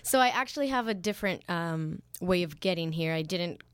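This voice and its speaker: A woman's voice: on the low side, expressive, brisk, clear, resonant tone, no room sound. A young woman from Peru, a student guest, speaking earnestly with some fillers. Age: young adult.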